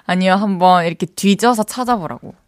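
A woman's voice: mid-range voice, big pitch swings, moderate pace, no echo, clean studio sound. A young woman from the Gyeongsang region with a Korean Gyeongsang accent, co-hosting a casual chat, playful and teasing, laughing often. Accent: Gyeongsang dialect of Korean. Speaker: radio co-host.

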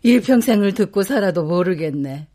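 An actress playing a wry elderly wife. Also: female voice, medium pitch, lively intonation, moderate pace, mellow, slight background noise, lightly acted. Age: older.

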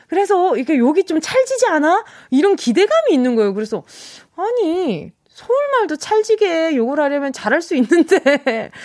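A woman's voice: sits high, no room sound, studio quality. A young woman from Busan hosting a radio show, chatting casually, laughing often and acting out listeners' messages. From Busan, South Korea. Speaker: radio DJ host. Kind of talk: casual radio chat reading listener texts. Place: radio studio. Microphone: studio microphone.

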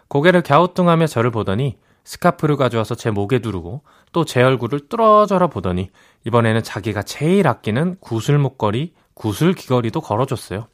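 A man reading listener stories on a radio show and chatting with the host in a calm, easy-going way. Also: medium pitch.

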